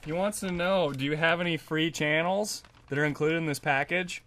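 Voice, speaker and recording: A man playing a patient, apologetic helper on a prank call, placating and explaining in a halting way. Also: a little high, fairly bright tone, slight background noise, lightly acted.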